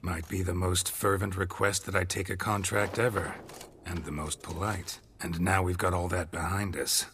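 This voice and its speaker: An actor playing a stoic, wry monster hunter in a video game, with terse, deadpan, sarcastic delivery. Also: male voice, sits low, moderate pace, a little hiss or hum. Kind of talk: video game cutscene acting.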